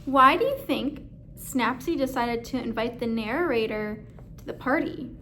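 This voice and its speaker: A woman reads a picture book to children in a high, slow-paced voice. She speaks as a teacher, putting questions to her listeners. Some background noise is audible. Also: sharp tone. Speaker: read-aloud teacher. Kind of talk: children's story read-aloud with questions to listeners.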